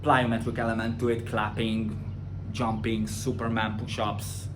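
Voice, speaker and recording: A man with a Hungarian accent, a personal trainer answering viewer questions in a casual monologue, blunt and opinionated, swinging from loud rants to quieter explanations. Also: flat delivery, noisy background. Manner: blunt and opinionated, rising loud and high when ranting; drops to a quieter, slower tone when explaining.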